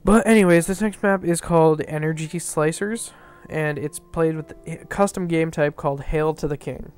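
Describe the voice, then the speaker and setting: A man's voice: a little high, normal speed, darkish tone, clear, resonant tone. A man with a stuffy, nasal voice giving casual, rambling commentary over gameplay, full of fillers and restarts. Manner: rambling, filler-heavy, self-correcting. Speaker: map reviewer. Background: faint background music.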